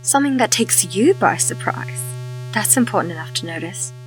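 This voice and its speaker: A woman playing a quick-witted, teasing female companion in an audio drama, with a sardonic way of talking. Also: medium pitch, expressive, faint music behind, natural voice, poor audio. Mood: dry, needled.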